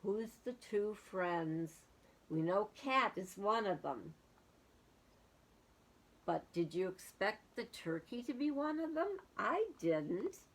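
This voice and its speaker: A woman's voice: mid-range voice, big pitch swings, slow. A woman reading a storybook aloud to young children, expressively, with casual musing commentary.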